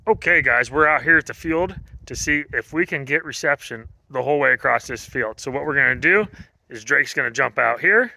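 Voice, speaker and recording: A man's voice: mid-range voice, expressive, fairly quick, gravelly, bright, forceful tone. A man giving a casual, informal product demonstration to viewers in an outdoor review vlog. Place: outdoors, partly riding in a vehicle.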